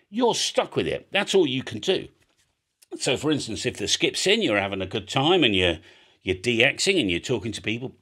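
Animated British man chatting about his hobby. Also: on the low side. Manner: emphatic, rambling, blunt. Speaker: amateur radio video host. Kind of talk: casual explanatory chat.

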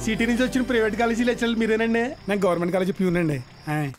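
An actor playing a bustling, deferential male college peon in a film, with a brisk, folksy manner. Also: sits high, fairly even intonation, close to an everyday voice. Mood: bossy, bustling. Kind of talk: film dialogue.

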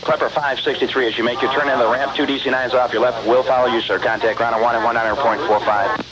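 A man's voice: medium pitch, fairly even intonation, quick pace, a crowd in the background, rough recording. A man working as an air traffic ground controller, giving clipped, procedural taxi instructions over aviation radio.

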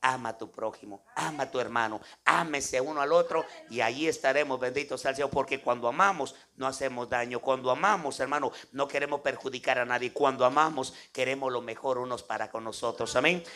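A man's voice: medium pitch, fairly quick, few pauses, bright, forceful tone. A man with a Guatemalan accent preaching an impromptu, exhortative sermon to a congregation, peppered with devotional interjections and tag questions. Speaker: evangelical preacher.

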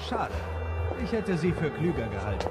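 A man's voice: a little high, very animated, normal speed, loud music behind the voice. A man playing a mocking, threatening interrogator in a horror radio play. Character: menacing, mocking male interrogator. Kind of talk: horror radio drama acting.

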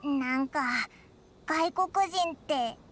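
A woman voicing a small, childlike, excitable and blunt fairy companion in an anime-style Japanese video game. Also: extremely slow, a little hiss or hum. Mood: blunt, curious. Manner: squeaky, blunt, exclamatory.

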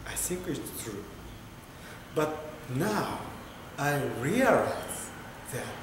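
A middle-aged man from Japan with a Japanese accent, a Buddhist priest talking to the camera in simple, careful English. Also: a little high. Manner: simple, careful English with small grammar slips. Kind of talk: informal video talk to camera. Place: temple hall indoors, standing before an altar. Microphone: camera a few steps away. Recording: very echoey room with clear background noise.

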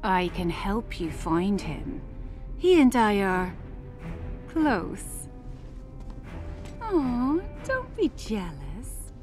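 An actress voicing a seductive, playful and haughty succubus in a game, with a sultry, teasing, drawn-out delivery. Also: female voice, medium pitch, sing-song, darkish tone. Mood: playful, coy. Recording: music behind the voice.

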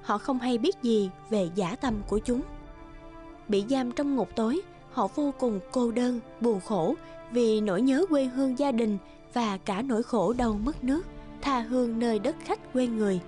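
Female narrator, high pitch, scripted fairy-tale storytelling, clear music bed. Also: a little slow, dark tone. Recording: music behind the voice.

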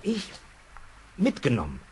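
An actor playing a timid, good-hearted, simple-minded man who talks in halting, childlike broken phrases in a children's radio play. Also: mid-range voice, huge pitch swings, a little mellow. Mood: hesitant, timid. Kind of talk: 1970s German children's audio play acting. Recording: old audio drama, faint background noise.

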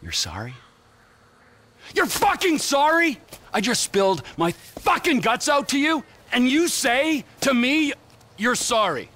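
A man's voice: very high voice, wildly swooping pitch, measured pace, sharp tone, full character voice. An actor playing a volatile, violent middle-aged criminal in a video game cutscene, swearing and switching between pleading and screaming rage. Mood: furious.

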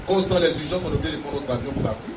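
A man's voice: a little high, very fast. A man leading a church service as prophet, preaching and issuing commanding, repeated rebukes into a handheld mic. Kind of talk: preaching and casting out spirits in French. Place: large church hall. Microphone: handheld mic, far camera. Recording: echoey hall, distant noisy camera audio.

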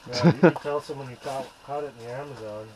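A man's voice: mid-range voice, fairly even intonation, quick pace, a crowd in the background. A man trading dry jokes while ice fishing in a shelter. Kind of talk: casual banter while fishing.

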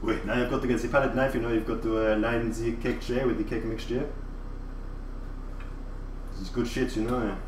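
A man's voice: mid-range voice, steady tone, moderate pace, pauses often, slight background noise, lightly acted. A man putting on a crude, cocky French chef. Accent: put-on French. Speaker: livestreamer. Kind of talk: casual livestream chat in character. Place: kitchen. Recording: roomy, distant mic, faint ambience.

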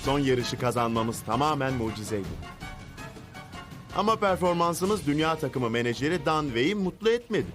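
A man voicing an earnest, easily flustered young race crewman in an animated series dub, eager and hesitant. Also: mid-range voice, normal speed, over music, natural voice. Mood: reflective.

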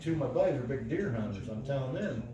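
A man's voice: mid-range voice, quick pace, noisy background. A man telling stories in casual, heavily profane chat, recorded by a phone across a living room. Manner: profane, animated retelling with acted-out quotes. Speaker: storyteller. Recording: roomy, distant phone recording, background chatter.

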